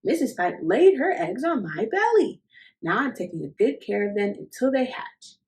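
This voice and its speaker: A woman's voice: fairly high pitch, big pitch swings, normal speed. A woman voicing a proud, cheerful father fish in a children's storybook, chirpy and upbeat. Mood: proud, cheery. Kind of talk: children's storybook read-aloud.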